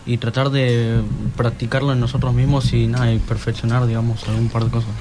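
A teenage boy speaks casually as a student guest on the radio. His voice sits at a medium pitch. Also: flat delivery, fairly quick, noisy background.

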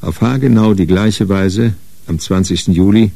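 A man narrating a radio crime drama in a sober, matter-of-fact, measured way. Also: lowish voice, gravelly, dark tone, dry recording. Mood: sober, grim. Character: sober narrator of a hard-boiled crime story.

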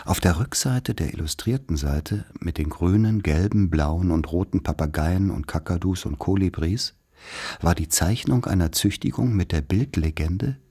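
A man playing a wry narrator who recalls his boarding-school boyhood, in measured literary radio play narration. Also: sits low, warm tone, husky. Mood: wry, deliberate.

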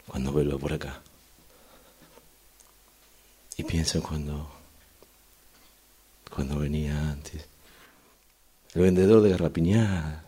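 A man's voice: rumbling low, dark tone, slightly rough, full character voice. A man acting in amateur radio theatre as a wistful man waiting for a train. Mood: pensive, nostalgic.

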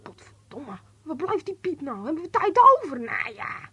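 Woman playing exasperated airport caller for amateur radio play: high voice, halting, muttering, grumbling. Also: very animated, fairly bright tone. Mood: exasperated, flustered. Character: grumbling, flustered airport caller ringing for help.

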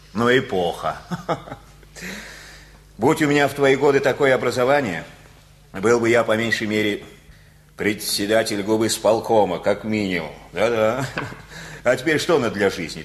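A man's voice: on the low side, lively intonation, normal speed, long pauses, close to an everyday voice. A male actor playing a folksy, talkative, worried father in a radio play, rambling colloquially with chuckles. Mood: jovial banter.

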